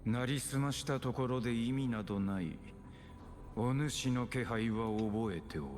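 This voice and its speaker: An actor playing a stern, vengeful warrior in a game, speaking in a grave, terse, old-fashioned way. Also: male voice, mid-range voice, brisk, a little mellow, close to an everyday voice. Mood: stern, accusing. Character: stern male warrior with archaic speech, vengeful. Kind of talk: Japanese game voice acting. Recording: long hall reverb, game music behind. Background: game music.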